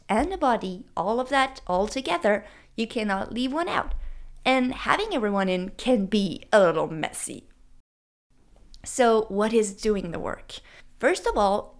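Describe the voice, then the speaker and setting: A woman's voice: a little high, creaky. A woman with a French accent, whose first language is French, hosting her own podcast in an upbeat, playful way and asking her listeners questions. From France. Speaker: podcast host. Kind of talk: scripted solo podcast talk.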